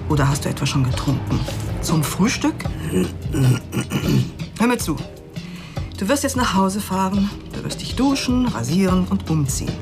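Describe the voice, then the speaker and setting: A woman's voice: medium pitch, close to an everyday voice. A woman playing a bossy, exacting lawyer in a German radio drama, with a commanding, withering delivery. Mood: scolding. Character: bossy, exacting female lawyer, brisk and cutting. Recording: music behind the voice. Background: music.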